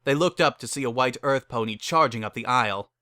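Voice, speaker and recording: A man's voice: medium pitch, a little fast, sharp tone, no echo, clean studio sound. A man narrating a piece of fan fiction as a dramatic reading. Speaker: story narrator.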